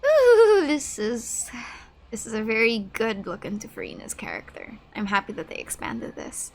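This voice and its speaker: A woman's voice: fairly high pitch, huge pitch swings, moderate pace, a little mellow. A woman giving casual let's-play commentary, reacting aloud and empathetically to a game's story. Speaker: gaming YouTuber.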